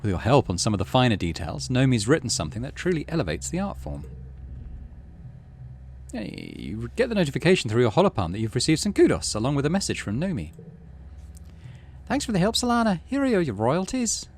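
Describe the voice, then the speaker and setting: A man's voice: mid-range voice, huge pitch swings, quick pace, a little mellow, a little hiss or hum. A man doing casual game commentary, thinking aloud and reading game text out as the host of a gaming channel.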